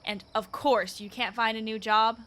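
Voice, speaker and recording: A woman's voice: a little high, fairly even intonation, normal speed, pushed and bright. An actress playing a nosy, opinionated married woman in a radio play, speaking emphatically with swooping exclamations. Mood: knowing, effusive.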